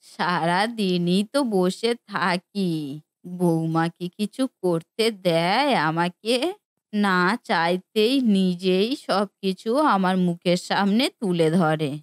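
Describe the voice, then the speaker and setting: A woman's voice: lowish voice, slow, no room sound, clean studio sound. A woman voicing a plain-spoken, admonishing older mother and mother-in-law in a Bengali family cartoon. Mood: contented.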